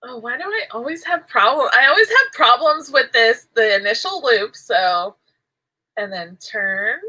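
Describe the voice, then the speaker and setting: A woman's voice: fairly high pitch, animated, measured pace, pushed and bright, low-quality recording. A woman chatting casually while she crochets, rambling and giggling, her nose stuffy and nasal from allergies. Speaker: crochet vlogger.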